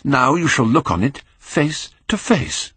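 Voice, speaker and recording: A man voicing a proud young Victorian gentleman in an audiobook, hard, contemptuous and bitterly mocking. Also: mid-range voice, very animated, a little slow, breathy edge, dry recording, pitched above the performer's own voice, heavily acted. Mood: defiant mockery.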